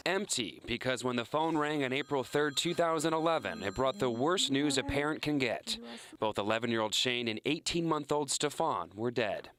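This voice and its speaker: A man's voice: mid-range voice, lively intonation, moderate pace, a bit bright. A man narrating a scripted investigative news report as a television reporter.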